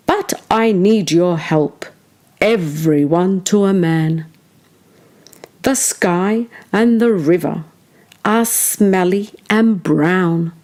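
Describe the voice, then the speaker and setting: A woman's voice: on the low side, darkish tone. A woman voicing an earnest young boy superhero in a storybook, urging and declamatory.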